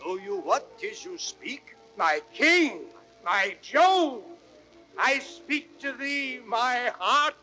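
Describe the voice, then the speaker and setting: A man playing a stunned, doting old knight, making drawn-out entreaties in 1930s radio Shakespeare style. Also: very high voice, animated, sharp tone, faint music behind, heavily acted. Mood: shocked, pleading.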